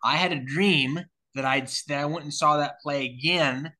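A man's voice: on the high side, fairly even intonation, normal speed, sharp tone. A man co-hosting a podcast in casual chat, with an easygoing, laid-back way of talking. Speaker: podcast co-host.

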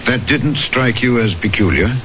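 An actor playing a stern, probing magistrate, brisk and authoritative, in 1970s radio drama style. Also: male voice, medium pitch, animated, fairly bright tone, close to an everyday voice. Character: stern, probing male French magistrate, impatient and dutiful. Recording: muffled 1970s radio recording, clear hiss.

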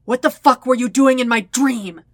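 A woman's voice: a little high, normal speed, no room sound, pitched above the performer's own voice, close to an everyday voice. Woman voicing a hot-tempered teenage boy, wounded pride, snarling delivery, storybook drama, dry sound. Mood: furious. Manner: gasping, snarling, spitting words out.

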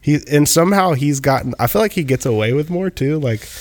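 A man's voice: a little high, lively intonation, a little fast, no room sound. A man in his twenties chatting casually, rambling with lots of "like" and fillers. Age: 20s. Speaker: musician guest. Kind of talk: casual chat.

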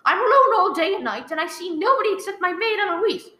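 A very high-pitched, piercing invalid wife in a radio thriller, recorded in an echoey room. She is demanding and fretful, stammering and complaining with wild swings of emphasis. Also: lively intonation, quick pace, pushed and bright, full character voice. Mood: flustered. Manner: shrill, agitated, stammering, complaining. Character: nervous, demanding, imperious bedridden invalid wife. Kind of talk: old-time radio thriller acting. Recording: roomy, echoing live recording.

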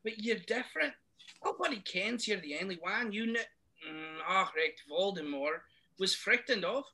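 A man reading a story aloud, putting on a stern, irritable middle-aged Scottish witch in a high falsetto, set back from the mic. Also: expressive, moderate pace, bright, forceful tone, heavily acted, poor audio. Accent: Scottish, put on. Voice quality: high strained falsetto with thick Scots words.

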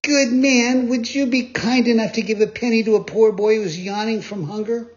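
Man playing a puppet boy, storybook, whiny high voice, impulsive, muffled lo-fi sound. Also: fairly even intonation, moderate pace, close to an everyday voice. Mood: pleading. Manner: high whining, stammering when upset. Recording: dull lo-fi recording.

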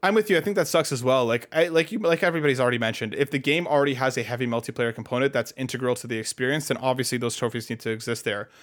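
Fast-talking man co-hosting a gaming podcast. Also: medium pitch. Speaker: podcast co-host. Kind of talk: casual gaming podcast talk, reading out listener comments.

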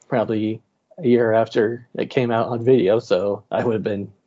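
A man's voice: on the low side, fairly even intonation, moderate pace, warm tone. Laughing man in his thirties. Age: 30s. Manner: laughs while telling stories. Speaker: podcast co-host. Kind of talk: casual group chat. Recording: dull lo-fi sound.

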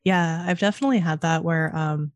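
Brief: Californian woman, twenties, rapid casual talk full of "um" and "like", dry close mic. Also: deep voice, no echo. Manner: fast-talking, chatty, many fillers. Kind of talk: casual conversation.

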